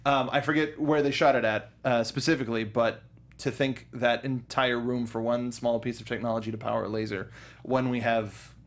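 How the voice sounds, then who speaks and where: A man's voice: mid-range voice, fairly even intonation, normal speed. Rambling young man reviewing a film. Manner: rambling, many restarts and fillers. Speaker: podcast co-host. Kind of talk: casual movie review chat.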